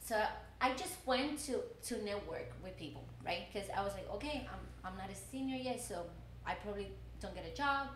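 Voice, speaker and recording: A woman's voice: medium pitch, animated, brisk, fairly bright tone, reverberant, slight background noise, low-quality recording. A woman in her twenties from Cuba, speaking English with a Cuban Spanish accent in a casual chat, often repeating words as she starts a thought. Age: 20s. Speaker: journalism student guest.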